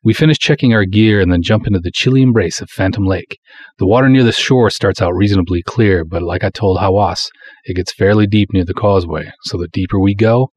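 A man's voice: low voice, a little mellow, breathy edge. A man playing a proud, folksy small-town cop who narrates his own pulp detective story in breezy, wisecracking, colloquial style. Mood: suspenseful. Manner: breezy, colloquial, hard-boiled wisecracking delivery. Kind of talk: dramatic first-person pulp story narration.

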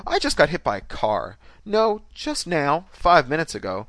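A man's voice: on the high side, huge pitch swings, moderate pace, a bit bright, dry recording, studio quality, pitched above the performer's own voice. A man voicing a brash, strident American woman. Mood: breezy, scheming. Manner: strident, demanding. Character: angry, litigious young American woman, brash and scheming.

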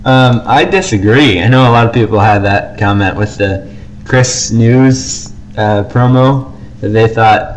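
A man's voice: on the low side, low-quality recording. A man co-hosting a podcast, talking casually as he discusses and gives his opinions. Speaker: podcast co-host. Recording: faint background noise.

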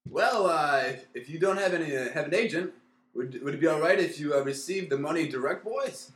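Earnest, stammering male producer, played by a man for radio play, lightish pitch, echoey room. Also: expressive, a little fast, a bit bright. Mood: hesitant, indignant. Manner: hesitant, stammering. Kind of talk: mock old-time radio play.

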